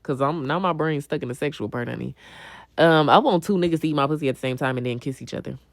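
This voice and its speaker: A woman's voice: low voice, fast talker, dry recording. A young adult woman chatting casually on a livestream as she answers viewers, blunt, slangy and full of swearing, often repeating a phrase for emphasis. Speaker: livestream host.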